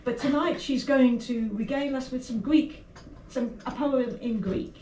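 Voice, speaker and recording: A woman's voice: high voice, fairly even intonation, normal speed, mellow. A woman hosting a poetry evening in a chatty, conversational way. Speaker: host. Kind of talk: event hosting. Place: indoor venue at a poetry evening. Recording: echoey room, distant mic, faint noise.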